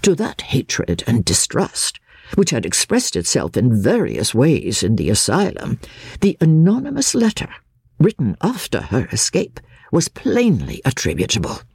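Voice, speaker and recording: A woman reading a classic novel dramatically as a formal, judicious Victorian male lawyer, with deliberate, expressive phrasing. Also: a bass voice, breathy edge, no room sound, full character voice. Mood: measured, judicious.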